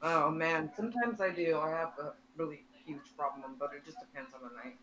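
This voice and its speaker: A man's voice: fairly high pitch, a little fast, a bit bright, highs cut off. A man in his forties, a guest on a casual live-stream chat, joking and laughing easily. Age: 40s.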